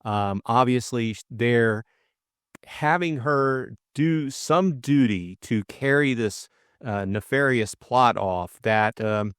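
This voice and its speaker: A man's voice: mid-range voice, slow pace, smooth, no echo, clean studio sound. A middle-aged man co-hosting an audiobook, narrating and chatting informally about the book in a hesitant way. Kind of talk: narration and casual book discussion.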